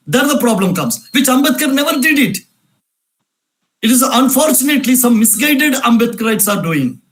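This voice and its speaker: A man's voice: very high-pitched, rough recording. A man giving an emphatic lecture, repeating phrases and asking rhetorical questions. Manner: repetitive, insistent, rhetorical questions. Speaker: speaker at a cultural event.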